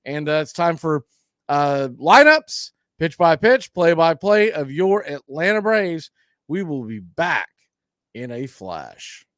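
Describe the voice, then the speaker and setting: Male sports stream host, slightly high voice, casual pregame commentary, dry sound. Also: very animated, slow pace, fairly bright tone, dry recording. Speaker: radio show host and play-by-play announcer.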